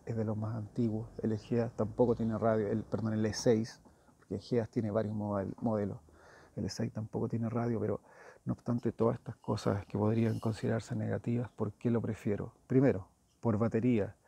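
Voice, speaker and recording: A man's voice: lowish voice, little pitch movement, normal speed, creaky, warm tone, slightly rough, no room sound. A man giving a casual hands-on review as a motorcycle gear reviewer, informal and thinking aloud.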